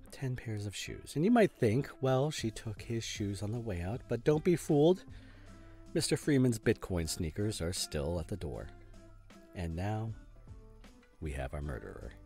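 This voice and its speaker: A low male voice acted by a man plays a self-satisfied detective unmasking a culprit in an audio drama. He is grandiose and deliberate, savoring dramatic pauses. His pitch swoops widely for effect, over faint plucked guitar. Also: darkish tone, exaggerated voice. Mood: triumphant, teasing. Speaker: voice actor in an audio roleplay. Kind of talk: theatrical scripted ASMR roleplay monologue.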